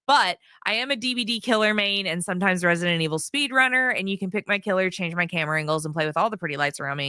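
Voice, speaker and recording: A woman streamer chatting casually to her viewers, her voice hoarse from yelling, rambling with lots of ums and restarts. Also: fairly low pitch, no echo, clean studio sound. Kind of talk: casual livestream chat.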